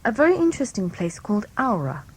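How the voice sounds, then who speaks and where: A woman's voice: mid-range voice, sing-song, fast talker, slightly rough, low-quality recording. A young woman, an actress, answering questions in a casual interview. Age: young adult.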